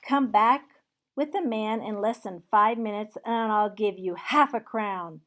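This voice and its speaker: A loud, exclaiming old miser, raised well above the reader's normal pitch, as a woman puts him on for a storybook reading. He pleads and shouts his vows in a middle-pitched, animated voice. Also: a little slow, lightly acted. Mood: overjoyed. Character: old man Scrooge, overjoyed and reformed.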